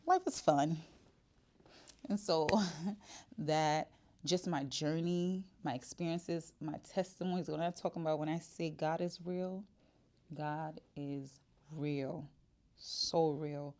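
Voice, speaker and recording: A woman's voice: low-pitched, steady tone, slow, darkish tone. A woman, talking candidly and casually to the camera in her shop, with many fillers and restarts. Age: 40s. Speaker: vlogger. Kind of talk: casual personal vlog.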